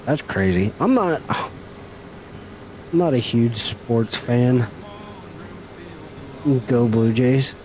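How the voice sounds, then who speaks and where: Slow-talking folksy man streaming a game. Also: mid-range voice, animated, darkish tone, a little hiss or hum, poor audio. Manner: folksy, teasing, chatty with viewers. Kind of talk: casual live-stream chat while gaming.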